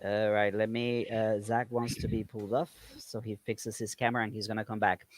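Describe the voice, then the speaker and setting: A man's voice: lowish voice, brisk, no room sound. Chatty Colombian man hosting a live stream. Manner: relaxed, chatty, many ums and laughs. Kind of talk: casual group chat on a live stream.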